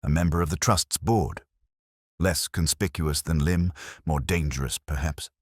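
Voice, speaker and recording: Guy doing a grumpy brilliant old detective, super low husky voice, clipped formal talk, audiobook style. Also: unhurried, no echo, clean studio sound. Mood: terse, grim. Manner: formal, terse, pronouncing. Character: large, brilliant, imperious older male detective, grumpy and deliberate.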